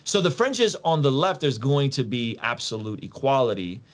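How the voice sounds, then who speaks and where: A man's voice: mid-range voice, very animated, normal speed. Emphatic man explaining casually in slangy talk. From Soviet Russia. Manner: emphatic, slangy, repeats phrases and poses rhetorical questions. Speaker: podcast guest.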